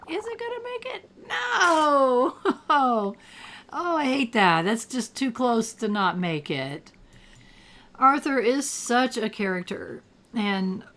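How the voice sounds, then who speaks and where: An older retired woman with a gravelly, allergy-roughened voice, chatting casually while she plays a game and thinks aloud. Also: on the high side, fairly bright tone. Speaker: gameplay commentator. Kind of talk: casual gameplay commentary.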